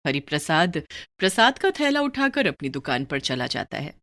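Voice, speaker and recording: Expressive woman story narrator. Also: low voice, normal speed. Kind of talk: scripted moral story narration.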